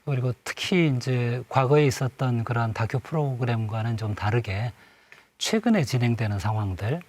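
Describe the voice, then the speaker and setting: A middle-aged man speaking as a guest on a studio panel, pausing often and filling gaps with short fillers. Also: medium pitch, a little mellow. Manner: hesitant, many pauses and fillers. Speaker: panel guest, former museum director.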